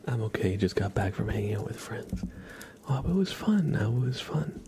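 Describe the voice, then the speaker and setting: A man who streams ASMR, chatting softly and casually with his viewers and greeting them by name. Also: lowish voice, very animated, normal speed, background noise. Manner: soft-spoken, chatty, addressing viewers by name. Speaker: ASMR livestreamer. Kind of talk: whispered ASMR, casual livestream chat.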